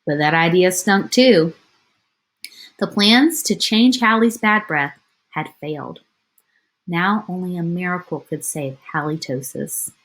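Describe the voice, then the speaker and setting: A woman's voice: fairly low pitch, measured pace, rough recording. A woman teacher reading a picture book aloud to children, expressively, with chatty asides.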